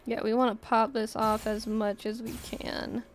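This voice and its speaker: A woman's voice: a little high, level tone, a little slow. A woman live streamer chatting casually over her gameplay, thinking aloud in slangy, offhand remarks.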